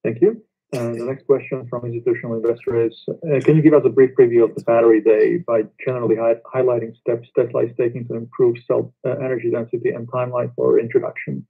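A man's voice: medium pitch, little pitch movement, quick pace, vocal fry, husky. A man moderating an earnings call, reading out submitted questions from investors. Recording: muffled, lo-fi conference call audio.

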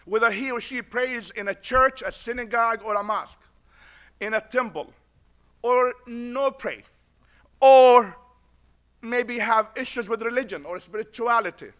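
A man's voice: very high voice, unhurried, long pauses, a bit bright, clean tone. A middle-aged man with a foreign accent, an imam giving a conference speech at a podium, preacher-like and repeating lines for emphasis. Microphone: podium mic.

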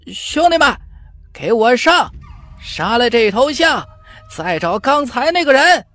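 A man's voice: very high-pitched, sing-song, unhurried, bright, forceful tone, pitched above the performer's own voice, exaggerated voice. A man voicing a short, skinny, greedy bandit leader who cackles shrilly and eagerly. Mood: greedy glee.

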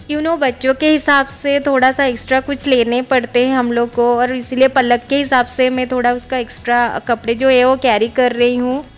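A woman's voice: high voice, flat delivery, normal speed, hardly any pauses, soft background music. A woman in her thirties chatting casually in a family vlog, mixing in English words, filmed on a phone held at arm's length. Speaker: family vlogger. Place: home and on the road, train station.

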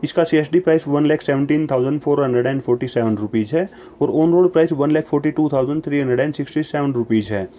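A man reads price lists at a brisk pace, each entry in the same steady pattern. His voice is warm and mellow, and the delivery stays even and matter-of-fact throughout. It is a voice-over laid over price slides. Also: fairly high pitch. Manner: steady, even list reading in set formulas. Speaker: narrator reading price lists. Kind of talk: scripted voice-over reading prices.

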